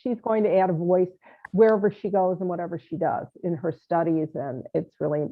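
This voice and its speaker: A woman's voice: lowish voice, fairly even intonation, fairly quick. A woman hosting an interview, encouraging and enthusiastic, with many fillers. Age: older. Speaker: podcast host. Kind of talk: casual interview chat. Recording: tinny phone-like line.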